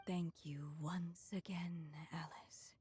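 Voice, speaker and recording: A woman playing a dying author in a fantasy game, speaking tenderly and solemnly in a soft, partly whispery voice like a mother. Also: on the low side, flat delivery, a little hiss or hum. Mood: weary, wistful. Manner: slow, tender, solemn delivery. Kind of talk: dramatic game voice acting. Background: music.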